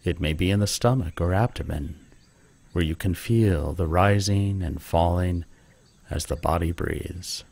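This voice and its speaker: A man leading a guided meditation with gentle, calm instruction. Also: sits low, a little slow, gravelly.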